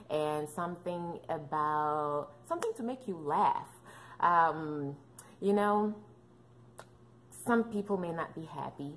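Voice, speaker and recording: A woman's voice: deep voice, a little hiss or hum. A woman, chatting casually to camera as a vlogger, playful and joking with lots of fillers. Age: 30s. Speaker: fitness and mom vlogger. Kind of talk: casual chatty vlog talk.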